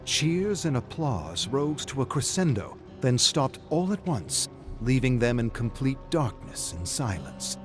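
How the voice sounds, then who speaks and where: A man reading dramatic scripted fiction as narrator, in a measured, suspenseful storytelling manner. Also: medium pitch, sing-song, faint music behind.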